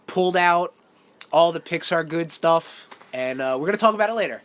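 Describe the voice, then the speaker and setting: A man co-hosting a casual podcast, stuffed up and nasal from a cold, joking and quick with self-mocking asides. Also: fairly high pitch, animated, fairly bright tone. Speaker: podcast co-host.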